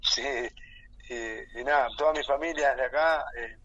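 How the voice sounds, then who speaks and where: A man's voice: mid-range voice, normal speed, fairly bright tone. Argentine man on a dull phone line. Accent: Argentine Spanish. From San Martín de los Andes, Argentina. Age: 30s. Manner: hesitant, repeats words, laughs easily. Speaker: boxer guest. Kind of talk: phone interview on radio.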